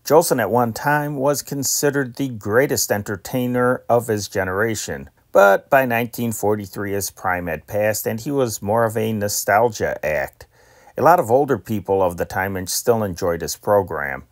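A man's voice: fairly low pitch, moderate pace, no room sound, studio quality. A man giving a casual scripted introduction to old radio recordings as the host.

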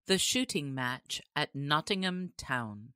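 A woman's voice: low-pitched, lively intonation, slow, loud music behind the voice. A woman narrating a classic storybook as an audiobook reader, in a scripted style.

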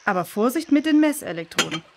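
Higher-voiced busy woman researcher. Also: big pitch swings, fast, creaky, full character voice. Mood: urgent. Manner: uses folksy idioms. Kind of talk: children's audio drama acting.

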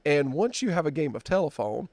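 A man in his fifties hosting a podcast, telling a story in a halting, drawn-out way with many fillers, at a desk mic in a dark studio. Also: a little high, big pitch swings, dry recording. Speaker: podcast host. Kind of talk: casual storytelling chat.

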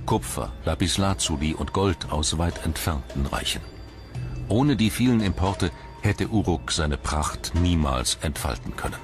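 A man reading scripted documentary narration in a measured, articulate storytelling manner. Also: low voice. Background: music.

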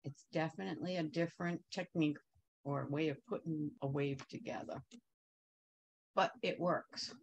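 A woman's voice: low-pitched, fairly even intonation, moderate pace, no echo, muffled recording. A woman teaching a quilting technique in a chatty, upbeat way, wandering into asides while she sews. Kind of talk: casual sewing tutorial.

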